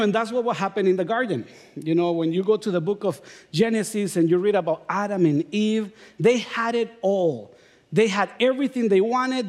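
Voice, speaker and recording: A middle-aged man from Honduras with a Honduran Spanish accent, preaching a sermon in a relaxed storytelling way with self-deprecating humor. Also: high voice. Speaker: guest preacher. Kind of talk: sermon with personal stories.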